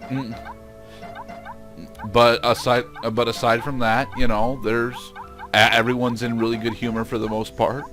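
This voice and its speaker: A man chats casually as a game commentator. His voice has an ordinary middle pitch and his phrasing is halting and hesitant. Music plays faintly behind him. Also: level tone. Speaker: let's play co-commentator.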